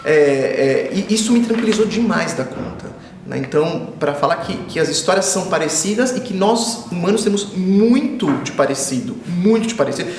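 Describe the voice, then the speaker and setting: A man in his forties, born in Israel and raised in Brazil, speaking as an author in an interview, reflective and often repeating himself, growing animated when he tells stories. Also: sits high, moderate pace, echoey room, slight background noise. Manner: thoughtful, circling back and repeating phrases, animated when telling stories. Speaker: children's author, interview guest.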